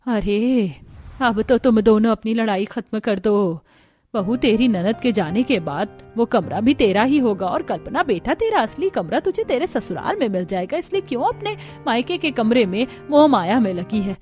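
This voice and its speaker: Cartoon mother-in-law played by a woman: middle-aged matriarch, instructing yet affable, higher-pitched. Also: lively intonation, moderate pace, a little mellow, soft background music, lightly acted. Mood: chiding.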